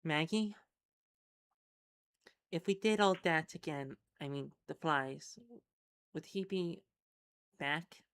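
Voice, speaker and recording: A woman's voice: deep voice, slow pace, pauses often, smooth. A woman playing a weary, blunt adventurer who is troubled by killing, in a role-playing game. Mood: troubled, subdued.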